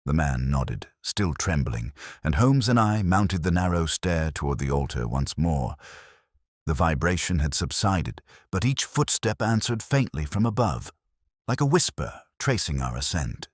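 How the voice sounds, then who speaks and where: A man narrating a scripted mystery story as an audiobook narrator, in a measured, solemn way. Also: rumbling low, big pitch swings, no room sound, clean studio sound.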